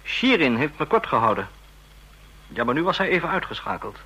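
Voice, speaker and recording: Bright, husky, scheming deputy officer. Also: male voice, a little high, sing-song, a little fast, heavily acted, rough recording. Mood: brisk, self-satisfied. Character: ambitious, scheming male deputy intelligence officer. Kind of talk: radio drama dialogue.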